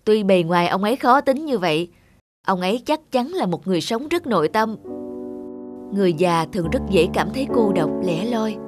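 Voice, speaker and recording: A woman's voice: mid-range voice, animated, normal speed, natural voice. A woman voicing a patient, kindly young waitress in a cartoon, speaking politely and reassuringly. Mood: thoughtful. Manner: courteous, gently reassuring. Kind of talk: animated cartoon dubbing. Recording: music behind a close dry voice.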